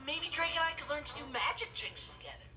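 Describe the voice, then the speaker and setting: A man's voice: extremely high pitch, sing-song, fast talker. A young man playing an eager, earnest, dorky teenage stepbrother in a scripted sitcom monologue to camera. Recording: music behind TV dialogue.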